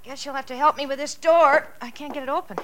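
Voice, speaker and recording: An actress playing a fussy, affectionate and anxious housewife in a 1940s radio drama style. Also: female voice, high voice, fairly even intonation, fast, natural voice. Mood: busy, coaxing. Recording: noisy vintage radio recording with clicks.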